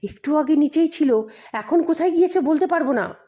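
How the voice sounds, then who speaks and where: Woman playing frightened male watchman, pleading, pained, raised high voice, storybook narration. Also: expressive, fairly quick, warm tone.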